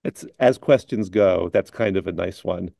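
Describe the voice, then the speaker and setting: Man, middle-aged, very low voice, gravelly, measured wry chat. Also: dark tone, no room sound, studio quality. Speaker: chief editor. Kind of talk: casual on-camera chat.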